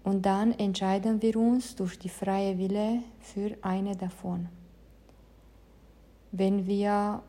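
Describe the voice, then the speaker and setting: A woman who teaches meditation explains her classes in careful, measured speech, as a non-native speaker of the language. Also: mid-range voice, level tone, mellow. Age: adult, past her teens. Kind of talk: calm spoken introduction to meditation.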